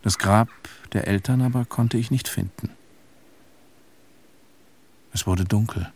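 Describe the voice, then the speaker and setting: An actor playing a somber, reflective grown son who narrates a literary radio play in a measured, pensive manner. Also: male voice, fairly low pitch, a little mellow, slightly rough, exaggerated voice. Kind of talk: literary first-person radio drama narration.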